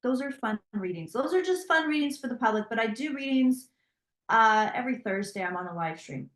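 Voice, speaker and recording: A woman who reads tarot, telling stories in an animated, conversational way with frequent restarts. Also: a little high, echoey room. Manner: animated storytelling with many restarts. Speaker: psychic tarot reader. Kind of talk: interview conversation.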